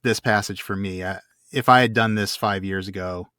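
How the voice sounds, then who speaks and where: A man's voice: on the low side, fairly even intonation, normal speed, bright, forceful tone, no echo, clean studio sound. A man co-hosting a podcast, chatting earnestly and reflectively about a favorite book. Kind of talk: casual book discussion.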